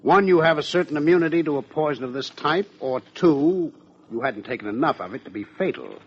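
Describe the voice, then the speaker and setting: Radio cop lieutenant, raspy, dry questions, very up and down pitch, muffled old recording. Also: male voice, lively intonation, fairly quick. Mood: dry, probing. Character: brisk male homicide police lieutenant, dryly probing. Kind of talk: old-time radio drama acting.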